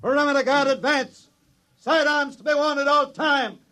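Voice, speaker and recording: Barking military officer for radio drama; extremely high, sharp, forceful voice over music. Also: male voice, steady tone, moderate pace. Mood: commanding. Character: barking military guard commander. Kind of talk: old-time radio drama acting.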